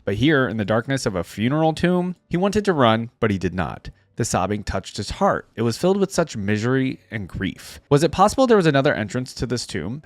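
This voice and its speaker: A man's mid-pitched voice with lively, swinging intonation, recorded dry and close. He sounds like an online reaction host, informal and conversational as he comments on and reads out game lore. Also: no echo. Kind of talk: casual reaction commentary mixed with story read-aloud.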